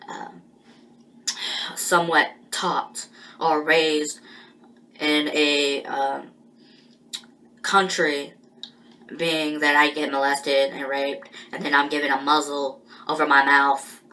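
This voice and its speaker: A woman speaks her mind in a slow, unhurried monologue, stopping and restarting her thoughts as she goes. Her voice sits on the lower side but has a bright, forward edge. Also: flat delivery, poor audio. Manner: halting and rambling, with many pauses and restarts. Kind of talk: personal spoken monologue.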